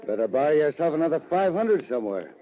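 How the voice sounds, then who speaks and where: An actor playing a domineering, greedy cattle baron in a radio western, with a folksy, threatening American Western drawl. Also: male voice, on the high side, sing-song, quick pace. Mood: smug menace. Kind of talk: old-time radio western acting. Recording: dull vintage radio recording.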